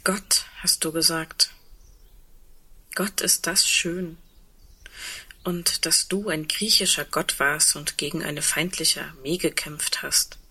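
An actress playing a lonely, sardonic woman awaiting the end of the world in an intimate radio play, in a breathy, reflective, wry way. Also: sits low, steady tone, slow, sharp tone, full character voice. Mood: scornful, wondering.

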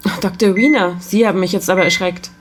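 A woman playing an earnest, caring hospital doctor in a German audio drama, measured and gently insistent. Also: mid-range voice, steady tone, lightly acted. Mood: concerned. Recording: beeping hospital machines, clear noise.

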